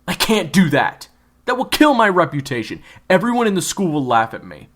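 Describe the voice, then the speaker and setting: A man voicing a hot-tempered, sarcastic tough teenage schoolboy who snaps loudly. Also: medium pitch, sing-song, a little slow, vocal fry, pitched above the performer's own voice, exaggerated voice. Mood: annoyed, sarcastic. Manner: snapping, sarcastic, loud.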